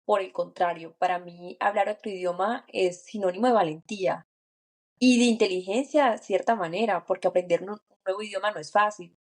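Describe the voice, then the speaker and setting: A woman co-hosts a reflective podcast chat. Her voice sits at a middle pitch, and she talks in an earnest, encouraging way. She thinks aloud and restarts small phrases now and then. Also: fairly even intonation, brisk. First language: Spanish. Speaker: podcast co-host. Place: lives and works in France.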